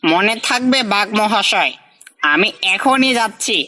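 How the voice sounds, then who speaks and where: A sly, hungry little cartoon fox, played by a woman, with a forceful, bright voice at an ordinary height. It fawns on the powerful and mutters resentfully to itself, always the scheming underdog. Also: mid-range voice, lively intonation, measured pace. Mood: servile. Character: small, hungry, cunning underdog fox, servile yet resentful. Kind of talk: scripted cartoon dialogue.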